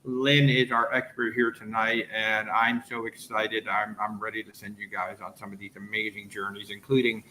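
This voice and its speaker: A man's voice: lowish voice, level tone, moderate pace, few pauses, sharp tone, a little hiss or hum, low-quality recording. Upbeat American man hosting a live stream. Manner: enthusiastic, laughs often, greets viewers by name. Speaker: travel agent host.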